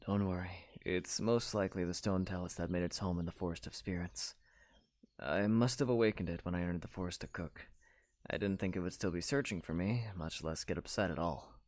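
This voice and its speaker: A man playing a calm, modest young knight in an audio drama, understated and reassuring, over music. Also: sits low, brisk, no echo, lightly acted. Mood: reassuring, confident.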